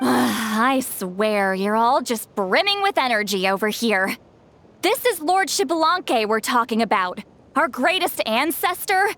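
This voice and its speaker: A weary, high-voiced game heroine. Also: female voice, lively intonation, unhurried, a bit bright, a little hiss or hum. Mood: wearily exasperated. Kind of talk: video game voice acting.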